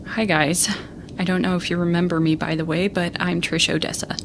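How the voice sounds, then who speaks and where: An actress playing a young female starship captain, shaken and stubborn, telling her story in a halting, earnest monologue for an audio drama. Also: fairly low pitch, little pitch movement, background noise. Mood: weary, earnest. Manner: halting, earnest, self-interrupting. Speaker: lead character recounting events in a broadcast. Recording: music bed under the voice. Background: music.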